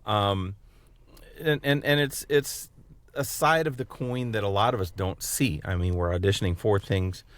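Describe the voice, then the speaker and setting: A man with a fairly low voice talks casually as a podcast co-host. His delivery is halting, circling back over words as he puts his thoughts together. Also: no echo. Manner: halting, repeats words while forming questions. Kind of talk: casual chat, interviewing.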